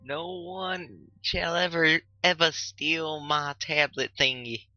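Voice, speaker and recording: A man's voice: a little high, fairly even intonation, slow, sharp tone, no room sound, voice pushed up, heavily acted. A man voicing a hapless young male gamer who speaks hesitantly, in a dry, slightly dull recording with music underneath. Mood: sheepish.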